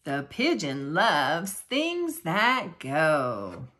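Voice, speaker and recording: Slow, lively woman reading aloud. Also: low voice. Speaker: children's book reader. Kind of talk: expressive read-aloud.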